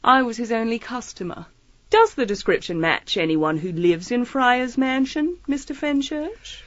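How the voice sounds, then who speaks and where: A shrewd lady sleuth in a period radio mystery. Her voice sits fairly high and swoops with lively inflection. She is airily polite and disarmingly chatty, recorded close and dry. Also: a little slow, no echo, muffled recording. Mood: slyly probing. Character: shrewd, breezy female amateur detective, feigning innocence. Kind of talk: audio drama acting, period mystery.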